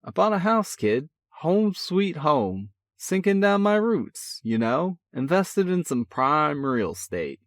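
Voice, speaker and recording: A man reading a story, voicing a boisterous, excitable older brother who talks loud and folksy. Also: high voice, normal speed, no room sound, clean studio sound, pitched above the performer's own voice. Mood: excited. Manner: loud, folksy, keeps calling his brother kid. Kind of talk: audiobook character voice.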